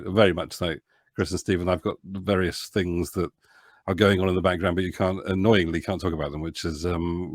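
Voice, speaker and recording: Deep-voiced man, hesitant and rambling. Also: dry recording, clean studio sound. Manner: hesitant, rambling, self-interrupting. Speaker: podcast guest, author and producer. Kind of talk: casual interview chat.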